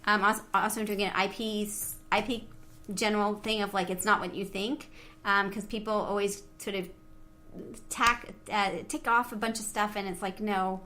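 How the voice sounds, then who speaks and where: A woman's voice: mid-range voice, fairly even intonation, moderate pace, bright, forceful tone, a little hiss or hum. A woman hosting a podcast in casual chat, effusive and informal in the way she talks. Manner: effusive, informal, frequent "like" and "awesome". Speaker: podcast host.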